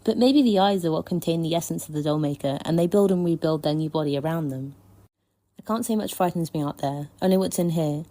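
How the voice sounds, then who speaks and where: A woman reading prepared fan commentary in a measured, articulate and thoughtful way. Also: deep voice, mellow. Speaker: listener contributor sending a voice message.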